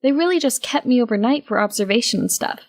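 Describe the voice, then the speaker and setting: A woman narrates a story in the first person. Her voice sits fairly high. She tells it in a wry, conversational way, as if confiding in the listener. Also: lively intonation, fast talker.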